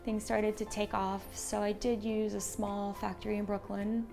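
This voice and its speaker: A woman's voice: mid-range voice, level tone, normal speed, gravelly, warm tone, faint music behind. An American woman speaking casually and enthusiastically in an interview as a small business owner. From born in Slovakia, moved to the United States at seven. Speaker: small business owner, textile designer and shopkeeper.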